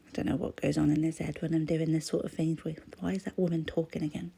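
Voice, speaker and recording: A croaky-voiced woman, chatting casually as she crafts, often trailing into a whisper. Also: sits low, level tone, fast talker, mellow. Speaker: crafting vlogger. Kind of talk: casual voice-over chat while crafting.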